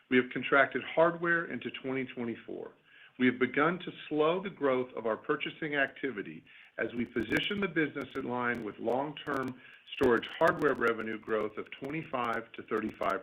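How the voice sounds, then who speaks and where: A man, a company executive, reading a scripted earnings call presentation in a formal, measured corporate way. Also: mid-range voice. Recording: tinny narrowband phone conference line.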